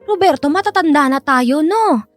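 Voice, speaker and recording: A feisty older woman in a radio drama, with a high voice that rises and falls freely. She scolds and banters sharply over a music bed. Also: animated, moderate pace. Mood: scolding, dismissive.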